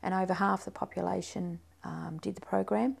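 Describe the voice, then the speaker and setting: A woman's voice: medium pitch, steady tone, moderate pace, vocal fry, slightly rough. A middle-aged woman with an Australian accent, a community centre coordinator, speaking proudly and plainly in a sit-down interview. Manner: friendly, proud, plain-spoken.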